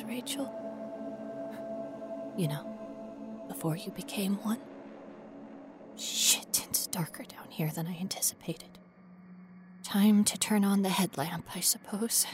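A woman's voice: fairly low pitch, slight background noise, natural voice. A woman playing a frightened, wry young ghost researcher in an audio horror monologue, rambling nervously to herself. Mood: hushed, wary. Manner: rambling nervous self-talk, sardonic, often dropping to whispers.

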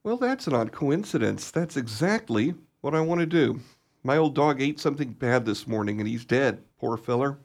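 A man's voice: mid-range voice, expressive, moderate pace. Old male caretaker, actor: kindly, chatty, folksy radio play delivery with hesitant repeats and bouncy intonation. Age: older.

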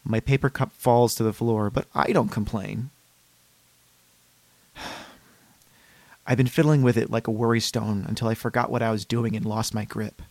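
A man voicing a fussy, polite, unsettled psychiatric patient in a measured reading of a first-person horror story. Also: fairly low pitch, steady tone, a little mellow, no room sound. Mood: musing, polite.

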